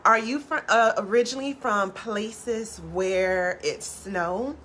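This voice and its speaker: A tarot reader chats with her viewers on a live stream, soft-spoken and laid back. Her natural voice sits low and she rarely raises it. She fills her talk with "like" and swears casually, over a faint background hiss. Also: slow.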